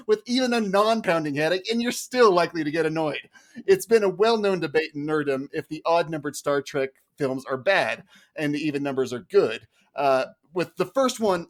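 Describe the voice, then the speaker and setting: A man arguing a humorous film critique as a mock prosecutor, in a mock-formal, exasperated way. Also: high voice. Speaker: podcast panelist playing a mock prosecutor.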